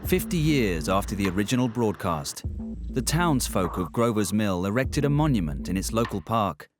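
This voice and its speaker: A man's voice: on the low side, big pitch swings, moderate pace, music in the background. A man reading scripted documentary narration.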